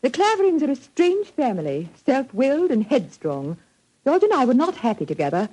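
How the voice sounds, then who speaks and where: Casting: genteel, coy noblewoman, highish husky voice, old radio mystery. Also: huge pitch swings, normal speed, warm tone, exaggerated voice, low-quality recording. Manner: polite, coquettish, genteel. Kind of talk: 1940s radio acting.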